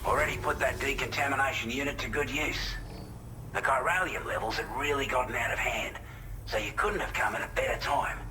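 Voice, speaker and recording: An actor with an Australian accent playing a plain-spoken, earnest shelter resident briefing a courier in a video game. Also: male voice, medium pitch, fairly quick, background noise, close to an everyday voice. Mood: appreciative, casual. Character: matter-of-fact male shelter resident, allied quartermaster briefing a courier. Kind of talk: video game cutscene dialogue, mission briefing.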